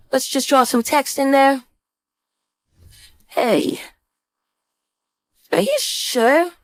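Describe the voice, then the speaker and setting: High-voiced woman teaching casually, dry sound. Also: no room sound. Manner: informal, thinking aloud step by step. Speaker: design instructor. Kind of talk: casual screen-share software tutorial.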